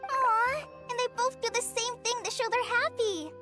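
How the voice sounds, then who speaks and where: A woman voicing a small, excitable floating fairy companion girl in a video game, exclaiming as she talks. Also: very high-pitched, moderate pace. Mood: excited. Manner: speaks of self in third person, exclaiming. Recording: music behind the voice.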